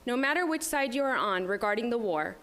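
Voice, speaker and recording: In a reverberant meeting hall, a middle-aged woman gives a prepared speech as a resident addressing a council. Her voice sits a little on the high side. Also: expressive, fairly quick, fairly bright tone. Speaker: resident giving public comment. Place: indoor public meeting room at a community center.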